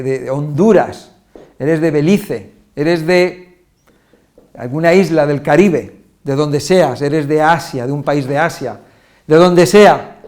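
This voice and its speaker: A man from Spain with a Castilian accent and a fairly high voice teaches in a relaxed, roundabout way. He stacks up examples, restarts his sentences and circles back to the point. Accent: Spanish from Spain. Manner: repetitive, listing examples with restarts and fillers. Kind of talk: informal Spanish lesson.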